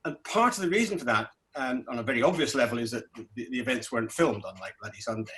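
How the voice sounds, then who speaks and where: A middle-aged man who grew up in Scotland, a documentary director answering festival questions, talking in a halting way with many restarts. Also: mid-range voice, lively intonation, fairly bright tone. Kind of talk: festival Q&A interview.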